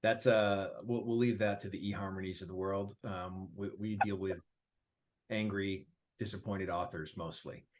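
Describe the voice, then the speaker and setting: A man in a casual group interview, talking about his work in a relaxed, emphatic way and laughing easily. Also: low-pitched, moderate pace, background noise. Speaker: featured guest, app founder.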